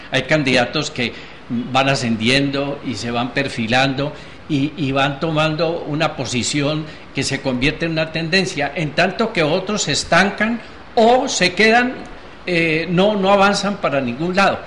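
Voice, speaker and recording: Male pundit, measured and reflective, faint background noise. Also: fairly high pitch, fairly bright tone. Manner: measured, thoughtful, hesitant fillers. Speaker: panel guest, political commentator. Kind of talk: TV panel debate.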